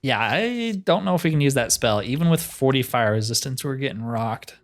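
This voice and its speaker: A man's voice: medium pitch, animated, moderate pace. A man giving casual gameplay commentary in a laid-back way, musing to himself as he plays. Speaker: gaming streamer.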